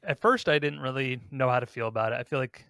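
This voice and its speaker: Bright-voiced man hosting a podcast. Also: medium pitch, quick pace, vocal fry, clean tone, dry recording, clean studio sound. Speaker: podcast host. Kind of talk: casual ranking discussion.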